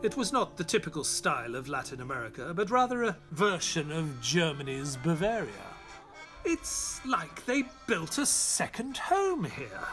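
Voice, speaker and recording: Earnest gentleman sidekick, lighter-pitched and very expressive, telling a detective radio play over music. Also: a little slow, heavily acted. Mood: earnest, dramatic. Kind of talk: audio drama narration and dialogue.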